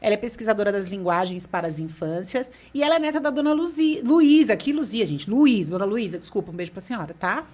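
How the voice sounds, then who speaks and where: A woman's voice: mid-range voice, fast, few pauses, darkish tone. A woman hosting a podcast, chatting in a bubbly, talkative way and laughing easily. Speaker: podcast host. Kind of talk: casual chat.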